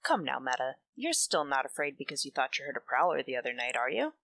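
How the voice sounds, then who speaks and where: A woman's voice: rumbling low, sing-song, fast talker, gravelly, a bit bright, heavily acted. A woman playing a blunt, resentful young sister in a radio soap drama. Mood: cool, curt.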